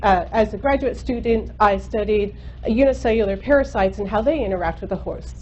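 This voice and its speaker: A woman in her thirties with a naturally loud voice lectures to a room full of listeners. She talks casually, dotting her explanations with ums and uhs. The recording is slightly muffled, with a faint hum underneath. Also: mid-range voice. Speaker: guest speaker, microbiologist. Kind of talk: university seminar lecture. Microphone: microphone at a lecture.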